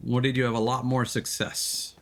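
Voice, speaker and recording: A man's voice: mid-range voice, little pitch movement, normal speed. A man giving casual, playful live game commentary and chatting with viewers, swearing freely. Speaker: live streamer, gamer.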